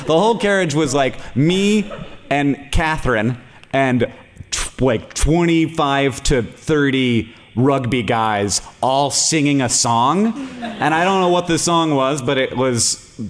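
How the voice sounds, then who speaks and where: A man's voice: mid-range voice, animated, takes it slowly. A man in his late thirties with an American accent, hosting a live podcast in front of an audience, telling stories with self-deprecating humour and frequent laughs. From United States. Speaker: podcast host. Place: stage before a live audience.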